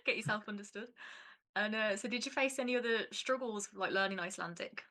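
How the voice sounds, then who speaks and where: A woman hosts a relaxed interview, nudging her guest along with short, encouraging replies. Her voice is bright and crisp, at a middle pitch. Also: normal speed. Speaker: podcast host. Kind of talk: casual interview.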